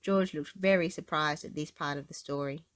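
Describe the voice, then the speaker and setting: A woman reading a storybook aloud to children in an expressive narrating style. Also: deep voice, moderate pace. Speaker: storybook reader.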